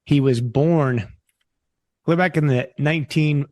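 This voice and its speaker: A man's voice: fairly high pitch, takes it slowly, darkish tone, no room sound, studio quality. A man hosting a podcast, introducing his guest in a loose, folksy, rambling way. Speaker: podcast host. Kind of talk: interview introduction.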